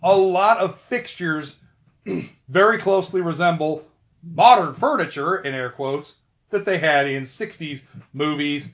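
Male host, chatty trivia commentary, a bit high-pitched, dull lo-fi recording. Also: unhurried, a bit bright. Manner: chatty, with asides and self-corrections. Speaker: video host. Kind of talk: casual film trivia commentary.

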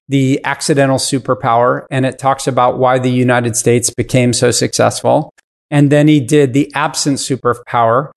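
A man in his fifties, a podcast guest explaining his business in casual interview talk. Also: medium pitch. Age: 50s.

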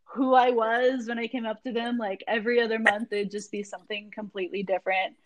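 A woman's voice: a little high, flat delivery, a little fast, dry recording, dull audio. A young woman from Florida, the founder of a magazine, talking casually as a podcast guest, rambling with many ums and likes. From Jacksonville, Florida. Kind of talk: casual interview chat.

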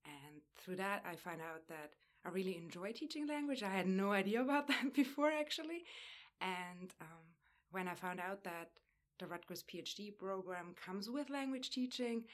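A woman's voice: mid-range voice, expressive, moderate pace. A young woman, a native German speaker, speaking as a graduate student guest with friendly speech full of fillers. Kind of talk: conversational interview answers.

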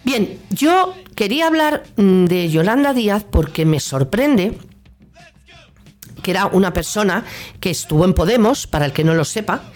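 Female radio host, Castilian Spanish accent, mid-pitched voice; indignant, sarcastic, colloquial political commentary. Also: big pitch swings, normal speed, a little mellow. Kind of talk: political opinion monologue.

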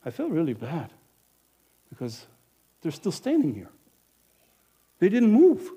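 A man playing a businesslike village innkeeper in an animated first-person monologue, emphatic and speaking straight to the audience. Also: fairly high pitch, unhurried, husky, heavily acted. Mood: guilty. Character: businesslike male village innkeeper, proud then guilty.

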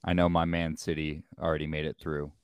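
A man's voice: very deep voice, little pitch movement, brisk, smooth, dry recording, clean studio sound. A man hosting a casual sports podcast, easygoing, with short reactions. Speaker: podcast co-host.

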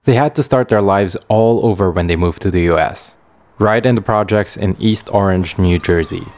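A man narrating a documentary feature in a clear, storytelling manner. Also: low voice, normal speed, a little mellow.

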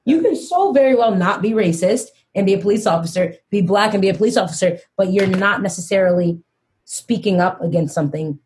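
A woman's voice: medium pitch, expressive, a little mellow, slight background noise. Earnest woman podcast host. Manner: earnest, measured, slows down to stress points. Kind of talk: casual podcast discussion.

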